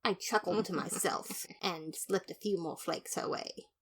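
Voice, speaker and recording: A woman's voice: lowish voice, measured pace, breathy edge. A woman narrating a first-person comic detective story in a dramatic, mock-serious manner. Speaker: fan fiction narrator.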